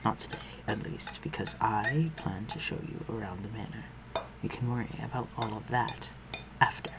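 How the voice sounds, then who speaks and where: A man playing an aristocratic vampire master in a scripted audio roleplay, speaking formally and with measured, condescending concern for the listener. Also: on the low side, steady tone, gravelly, heavy background noise. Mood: reassuring, protective. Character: aristocratic male vampire master, civil, protective, coolly superior.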